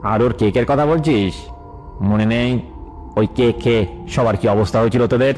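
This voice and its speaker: A man's voice: mid-range voice, moderate pace, a little mellow, faint music behind, lightly acted. A man voicing an irritable, grumbling village father who is short on patience in a children's cartoon. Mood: annoyed, restrained. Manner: grumbling, exasperated, dismissive.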